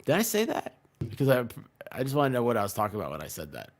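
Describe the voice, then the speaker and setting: A man's voice: mid-range voice, wildly swooping pitch, very fast. A man chatting casually as a livestream guest, rambling and self-deprecating. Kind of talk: casual chat.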